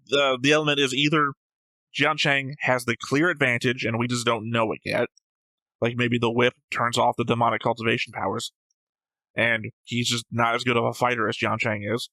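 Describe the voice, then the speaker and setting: A man with a bright, forceful voice in a casual debate about a show. He argues his points analytically and swears casually. The sound is very dry. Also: medium pitch, little pitch movement, moderate pace, no echo, rough recording. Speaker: host of an anime reaction show.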